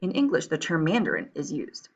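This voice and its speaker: A woman from Taiwan teaches a recorded online class with a low, gravelly voice. She talks patiently, keeps reassuring her students and checks in with them often. The audio is dull and lo-fi. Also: big pitch swings, brisk, darkish tone.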